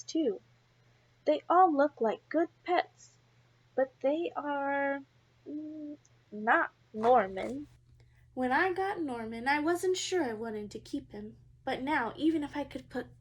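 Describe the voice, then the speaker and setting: High-voiced woman reading a children's storybook. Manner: expressive, addressing young children directly. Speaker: preschool teacher reading aloud.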